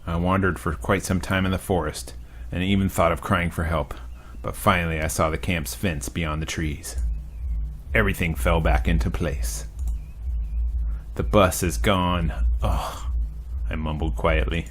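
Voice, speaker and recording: A man reading a visual novel aloud as a let's play host, casual and profane, now and then bursting into shouts. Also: deep voice, fairly even intonation, normal speed, slight background noise.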